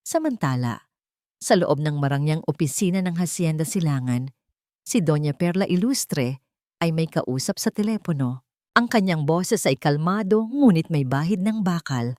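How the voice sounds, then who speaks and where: Woman storyteller, lowish voice, dramatic scripted narration, close dry sound. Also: animated, normal speed, a little mellow, no room sound.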